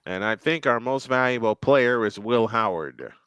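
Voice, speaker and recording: A man calls a football game live with a bright, crisp voice. He chats and thinks aloud between plays. The sound is dry and close to the mic. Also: mid-range voice, animated, moderate pace, no echo, lo-fi sound. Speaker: play-by-play commentator.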